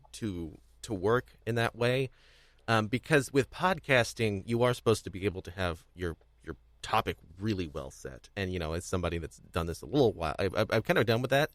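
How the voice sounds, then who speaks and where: A man with a fairly low voice hosts a casual podcast chat. He circles back over words and restarts his phrases, and the sound is close and dry. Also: fairly bright tone, no room sound. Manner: halting, repeats words and restarts often. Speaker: podcast host. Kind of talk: casual panel discussion.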